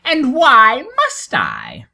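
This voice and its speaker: A man's voice: very high-pitched, huge pitch swings, extremely slow, creaky, bright, forceful tone, voice pushed up, exaggerated voice. A man voicing a sly, pedantic tortoise in a dramatic storybook reading, politely stubborn, precise and mock-innocent. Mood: thoughtful, stubborn.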